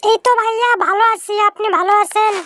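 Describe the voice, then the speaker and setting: Cartoon village girl of marrying age, played by a woman, extremely high and bright, polite, dry close sound. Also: steady tone, fast talker, no echo. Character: polite young village woman, soft-spoken and dutiful. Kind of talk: cartoon dialogue.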